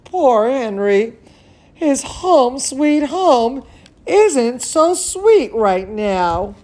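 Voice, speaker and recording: Slow, gentle children's bedtime storyteller, a woman. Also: high voice. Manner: expressive, soothing, singsong talk to young children; chats playfully to a pet or companion. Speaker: dance studio teacher reading bedtime stories to children.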